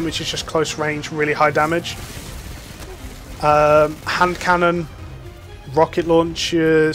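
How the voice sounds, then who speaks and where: A man's voice: on the high side, fairly bright tone. A young man casually commenting on his gameplay, explaining things with lots of fillers. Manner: chatty, explanatory, many fillers. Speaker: gaming YouTuber.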